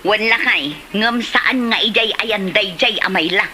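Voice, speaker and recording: A woman's voice: medium pitch, a little slow, bright, forceful tone, faint music behind. A woman with an Ilocano accent playing a touchy, haggling village housewife who complains indignantly in a comedy drama. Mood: spiteful.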